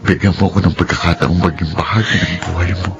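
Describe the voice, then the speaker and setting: A man's voice: deep voice, flat delivery, fast talker, music in the background. A Filipino man playing an earnest, devoted suitor in a radio drama. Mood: pleading, sincere. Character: earnest, devoted male suitor urging independence.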